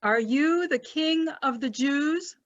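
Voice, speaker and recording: A woman playing a questioning Roman governor in an amateur scripture reading, speaking deliberately. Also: high-pitched. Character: Pilate, questioning Roman governor addressing a crowd. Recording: dull lo-fi home recording.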